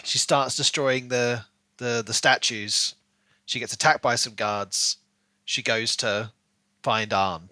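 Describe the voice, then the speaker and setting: A man's voice: mid-range voice, slow, bright, forceful tone, no room sound. A man co-hosting a podcast, chattily discussing a book and peppering his speech with "like" and "you know". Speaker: podcast co-host.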